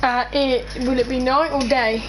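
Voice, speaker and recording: Brief: woman, high voice, halting curious questions, background noise. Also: steady tone. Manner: hesitant, full of fillers and restarts. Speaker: co-host asking questions. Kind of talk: casual chat.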